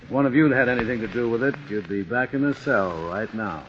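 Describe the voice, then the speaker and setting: An actor playing a brisk, businesslike police official, in 1940s radio drama style. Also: male voice, mid-range voice, loud music behind the voice, natural voice. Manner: blunt, businesslike. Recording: muffled old radio broadcast with hiss.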